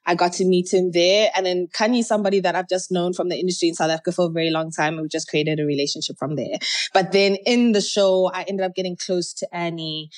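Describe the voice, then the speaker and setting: A woman rapper from Zimbabwe, a guest telling stories in a chatty, animated way in a casual interview. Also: fairly low pitch, fast talker.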